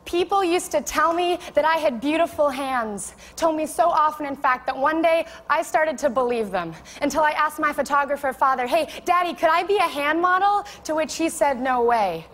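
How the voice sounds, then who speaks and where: A woman's voice: sits high, animated, normal speed, hardly any pauses, a bit bright. A woman performing spoken word poetry in a storytelling, conversational way.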